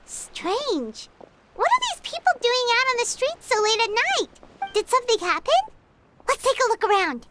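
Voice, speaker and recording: A woman voicing a small, curious fairy companion in a video game, with a squeaky childlike voice. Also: big pitch swings, normal speed.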